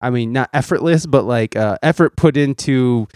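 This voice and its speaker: A man hosting a podcast, talking excitably with lots of swearing, laughter and stumbling restarts. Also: mid-range voice, moderate pace, dry recording, clean studio sound. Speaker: podcast host. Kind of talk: casual podcast conversation.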